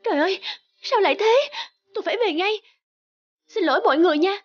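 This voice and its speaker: A woman's voice: very high voice, expressive, quick pace, dull audio. A woman playing a self-absorbed, persuasive actress wife in a cartoon, breezy and insistent. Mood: distraught. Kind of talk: Vietnamese cartoon voice acting. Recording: music behind the voice.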